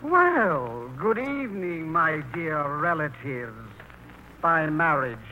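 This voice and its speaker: A man's voice: fairly high pitch, sing-song, takes it slowly, muffled recording, slight background noise. An actor playing a pompous, scornful learned man in a vintage radio play, declaiming grandly and giving orders. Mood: imperious, indignant. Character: pompous, imperious, scornful learned brother-in-law. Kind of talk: 1940s radio play acting.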